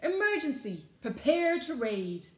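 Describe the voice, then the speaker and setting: A woman's voice: mid-range voice, sing-song, unhurried. A woman voicing a bold old granny who leads her gang with emphatic commands in a storybook. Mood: urgent, commanding. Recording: roomy echo.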